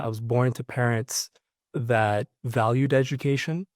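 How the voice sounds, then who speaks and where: A man's voice: mid-range voice, darkish tone, no room sound, studio quality. A man from Ethiopia, a start-up founder, answering interview questions thoughtfully with frequent uh fillers.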